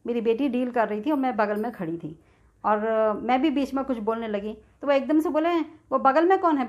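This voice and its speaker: A middle-aged woman with an Indian accent telling a personal experience casually and in detail, acting out bits of dialogue as she goes. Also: a little high. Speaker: video creator sharing a personal experience. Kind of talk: casual first-person storytelling with re-enacted dialogue. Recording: dull, lo-fi sound.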